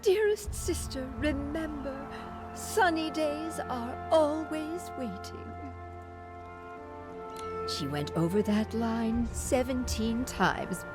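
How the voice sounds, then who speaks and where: A woman's voice: high-pitched, animated, takes it slowly. A woman voicing an elegant, sentimental former actress in video game story dialogue, with a dramatic, lilting storytelling manner. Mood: wistful, fond. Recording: background music under the voice.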